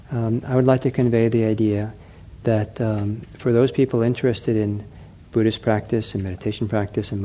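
A middle-aged man giving a dharma talk as a meditation teacher, gentle and unhurried, often pausing with fillers and restarting his phrases. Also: lowish voice, slight background noise. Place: meditation center hall.

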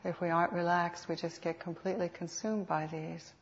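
A woman teaching guided meditation in an unhurried, soothing manner with reflective pauses, on a dull lo-fi recording. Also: on the low side, level tone. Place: meditation center. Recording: dull, lo-fi live talk recording.

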